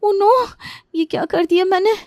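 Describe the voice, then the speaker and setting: A woman's voice: extremely high pitch, moderate pace, a little mellow, dry recording, studio quality, pitched above the performer's own voice. A woman voicing a young, clumsy, whiny daughter-in-law in a storybook tale, complaining and exclaiming dramatically. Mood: dismayed.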